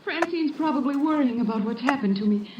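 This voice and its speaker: A dazed, frightened young woman in a vintage radio drama. Her voice is fairly high, halting and guarded. The old recording is roomy and muffled, with clear noise around her. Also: lively intonation, distant mic. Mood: guarded, evasive. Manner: halting, evasive. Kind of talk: 1940s-50s radio drama acting.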